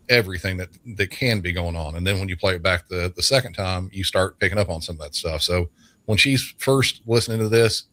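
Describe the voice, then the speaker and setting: Low-voiced middle-aged man, expert guest. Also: fairly bright tone, dry recording. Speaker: 911 dispatcher as expert guest. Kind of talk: conversational expert commentary.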